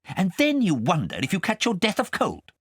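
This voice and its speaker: A strict storybook governess, cross and fussy, put on by a male reader. She nags sharply in a lifted pitch with lively swoops. The recording is dry. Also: moderate pace, husky, no echo, full character voice. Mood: cross, scolding. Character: strict, cross governess, scolding adult woman.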